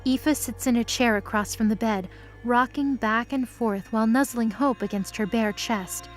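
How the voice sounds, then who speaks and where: A woman's voice: a little high, moderate pace, over music. A woman narrating a scripted present-tense mythology drama.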